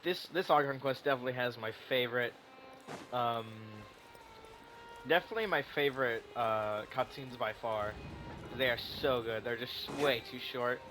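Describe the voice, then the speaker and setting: A man of average pitch streams a game with casual, rambling commentary. He talks loosely and swears freely. Faint music plays behind his voice. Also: low-quality recording.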